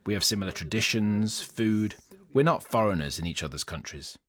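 A man whose first language is Turkish answers calmly in English, as the chairman of a business group. His voice sits very low and steady. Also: normal speed. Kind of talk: interview answers.

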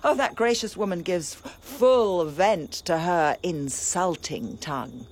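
An actress playing a grieving, vengeful young princess in a classical tragedy, giving an impassioned, bitter lament that sometimes drops to a whisper. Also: female voice, fairly low pitch, animated, slow pace, a little mellow. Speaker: stage actor. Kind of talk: classical tragedy stage acting.

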